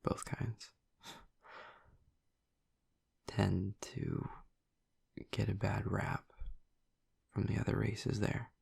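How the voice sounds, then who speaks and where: Hushed, gravelly young male vampire played by a man. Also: lowish voice, little pitch movement, takes it slowly, no echo, full character voice. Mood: relaxed, rambling. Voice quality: breathy, husky, gravelly murmur. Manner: hesitant, rambling, casual. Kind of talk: intimate audio roleplay addressed to the listener.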